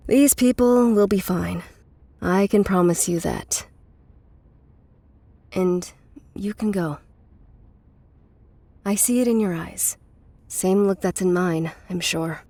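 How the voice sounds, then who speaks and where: An actress playing a weary, guarded middle-aged woman with a bitter past in a naturalistic, measured audio drama performance. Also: lowish voice, mellow. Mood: resigned, quiet.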